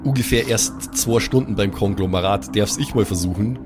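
A man's voice: fairly low pitch, normal speed, over music. A man talking casually as a player describing his moves in an improvised role-playing game.

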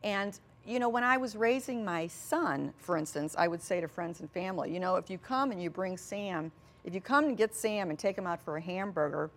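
A woman's voice: lowish voice, fairly quick. A mature woman speaking as an interview guest, conversational and anecdotal, repeating phrases for emphasis. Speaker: interview guest, small business representative.

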